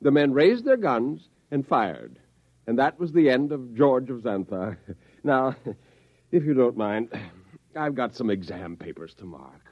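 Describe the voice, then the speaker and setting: An actor playing a clever, modest academic husband in a 1950s radio drama, explaining himself in a slightly hesitant, understated way. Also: male voice, mid-range voice, sing-song, measured pace. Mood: pleased, explaining. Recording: dull old radio broadcast.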